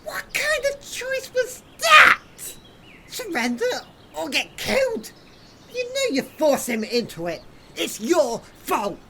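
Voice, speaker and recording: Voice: very high-pitched, wildly swooping pitch, takes it slowly, gravelly, roomy sound, big-room sound, background noise, heavily acted. Zekrai, an actor doing a bitter young male exile. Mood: defiant. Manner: resentful, swinging to loud defiant shouts. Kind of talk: amateur audio drama acting.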